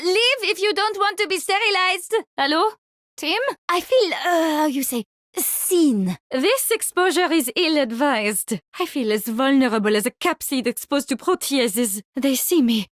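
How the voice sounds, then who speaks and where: A woman's voice: high-pitched, no echo, studio quality. A woman playing an eccentric, haughty mycologist with a French accent, theatrical and gleefully morbid, in video game voice lines. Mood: urgent, alarmed, then uneasy. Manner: theatrical, savoring, condescending.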